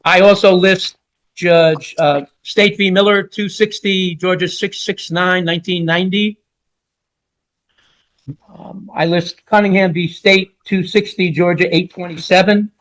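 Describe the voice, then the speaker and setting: A man's voice: high-pitched, fairly even intonation, a little slow, fairly bright tone, poor audio. A man speaking as a lawyer in court, politely addressing the judge and citing cases. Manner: deferential, polite to the bench. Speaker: lawyer arguing before a judge. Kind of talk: courtroom argument, citing case law.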